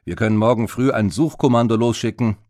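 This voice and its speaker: A man voicing a gruff, self-assured Viking-like warrior in an audiobook, speaking with weight. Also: medium pitch, fairly even intonation, brisk, darkish tone, dry recording, clean studio sound, lightly acted. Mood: knowing.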